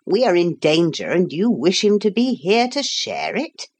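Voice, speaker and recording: Brief: grand old man, low and measured, lecturing everyone, as a woman narrator plays him. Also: wildly swooping pitch, darkish tone, husky, full character voice. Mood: brusque. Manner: stately, formal, slow and lecturing. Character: pompous old man, ark keeper, fussy and grand. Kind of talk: audiobook character voice.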